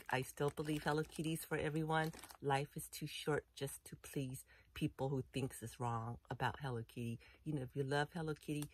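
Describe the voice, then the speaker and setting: Middle-aged woman yakking about her new bag, lots of "you know", noisy home recording. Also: extremely low pitch. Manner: chatty, rambling, many fillers like "you know". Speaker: vlogger reviewing a backpack. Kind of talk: casual unboxing show-and-tell. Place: indoor room full of collectibles.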